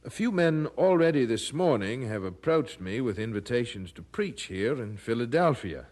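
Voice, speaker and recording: An actor playing a devout, courteous, resolute preacher in an audio drama, speaking formally and earnestly. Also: male voice, mid-range voice, very animated, moderate pace, a little mellow.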